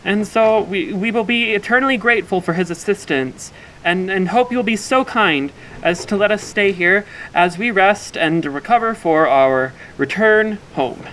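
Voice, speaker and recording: A man playing a nervous traveler who makes halting, formal speeches in an audio drama. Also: sits high, lively intonation, a bit bright, a little hiss or hum, rough recording. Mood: formal, grateful. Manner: halting, stammering, throat-clearing formality. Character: nervous young traveler stammering through a speech. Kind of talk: audio fiction acting.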